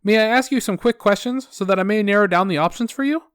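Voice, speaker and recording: Relentlessly positive phone rep, played by a male narrator, high pitch, over-polite, dry studio-close sound. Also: quick pace, a bit bright, smooth, dry recording. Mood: chirpy, polite. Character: relentlessly cheerful, sugary male customer service rep.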